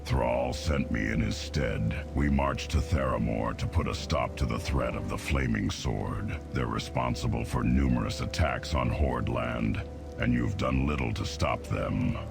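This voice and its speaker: An actor playing a gruff, stoic half-ogre warrior in a video game, terse and blunt. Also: male voice, rumbling low, a little slow, full character voice. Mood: grim. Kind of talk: video game character acting. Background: music.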